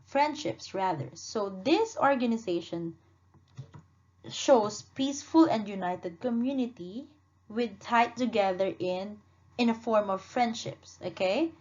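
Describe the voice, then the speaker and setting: A woman teaching a lesson, speaking slowly, repeating words and correcting herself as she asks the class questions. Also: mid-range voice, darkish tone. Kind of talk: classroom lesson with read-aloud dialogue. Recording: dull lo-fi sound.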